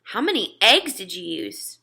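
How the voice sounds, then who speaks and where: A woman's voice: medium pitch, huge pitch swings, measured pace, vocal fry, pushed and bright, breathy edge, heavily acted. A woman voicing a polite, doubtful fourth-grade boy in a children's storybook read-aloud. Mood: politely skeptical.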